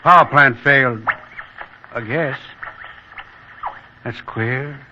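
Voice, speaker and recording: A man's voice: medium pitch, takes it slowly, lightly acted. A man playing a plain-spoken farm son in a 1940s radio drama, clipped and matter-of-fact. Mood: puzzled. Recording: muffled, noisy vintage radio.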